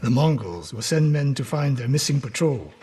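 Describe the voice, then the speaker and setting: An actor playing a stern, proud older samurai archery master in a video game, speaking in a measured, haughty, sardonic way. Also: male voice, medium pitch, lively intonation, mellow, slight background noise, natural voice. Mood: calm, commanding. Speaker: archery teacher. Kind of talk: dramatic video game acting. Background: background music.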